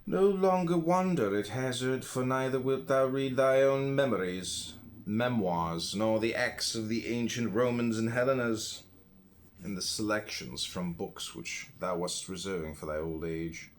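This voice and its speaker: A man reading an old philosophy book aloud in measured phrases, pausing between them. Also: medium pitch. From United States. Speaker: audiobook reader.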